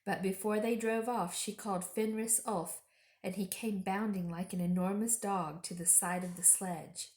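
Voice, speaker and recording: A woman reading a children's story aloud as storyteller, in a measured, expressive way. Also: mid-range voice. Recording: roomy home echo.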